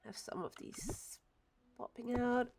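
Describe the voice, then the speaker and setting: A woman's voice: a little high, takes it slowly, gravelly, music in the background. Chatty woman streaming a game. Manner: chatty and opinionated, muttering to herself as she builds. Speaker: livestreamer. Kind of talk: casual chat while playing a building game.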